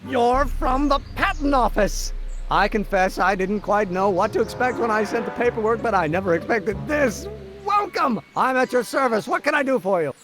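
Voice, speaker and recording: Young amateur inventor, American, high voice, wildly varied intonation, eager, formal, theatrical, game dialogue. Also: male voice, expressive, normal speed, over music. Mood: eager, deferential. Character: excitable, earnest young male amateur inventor. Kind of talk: video game voice acting.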